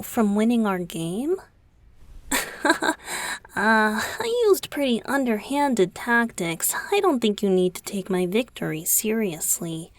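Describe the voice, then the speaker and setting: A woman voicing a tender, playful young male pop idol boyfriend in an intimate ASMR roleplay, soft, breathy and often whispering, with a hesitant, affectionate delivery. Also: mid-range voice, no room sound. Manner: slow, hesitant, affectionate, coy.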